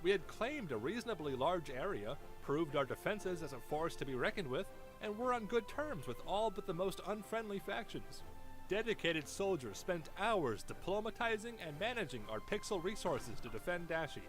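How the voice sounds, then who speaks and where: A man's voice: high-pitched, big pitch swings, normal speed, over music, low-quality recording. A man giving an earnest, dramatic scripted narration in the style of a documentary for an online video.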